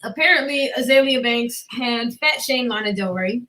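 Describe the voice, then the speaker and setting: A woman's voice: fairly high pitch, moderate pace, pushed and bright, low-quality recording. A woman co-hosting a podcast, presenting celebrity gossip in a casual, slangy, sweary way. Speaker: podcast co-host.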